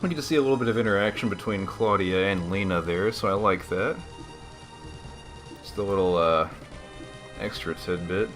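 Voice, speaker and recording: Low-voiced man rambling through game commentary. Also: normal speed, pauses often, music in the background. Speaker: let's play host.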